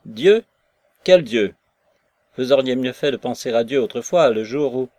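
Guy doing a bitter drunk young woman prisoner, sharp-tongued, loud, dry close sound. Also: lowish voice, expressive, moderate pace, dry recording. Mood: furious.

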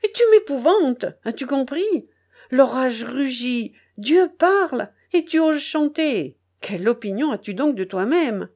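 A domineering grown prince in an audiobook, berating and indignant, as a woman acts him out. His voice is pushed high and loud, with wide, lively swings of pitch. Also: unhurried, heavily acted. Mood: furious. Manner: scolding, indignant tirade. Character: angry, domineering grown man, a proud prince.

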